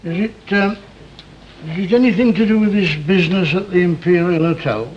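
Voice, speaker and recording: An actor playing a nosy, plain-spoken older neighbour with a Northern English accent in a 1960 television drama. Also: male voice, high voice, brisk, lightly acted. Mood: probing. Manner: probing, homely, blunt. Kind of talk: 1960s British television drama acting. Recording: muffled noisy 1960 television soundtrack.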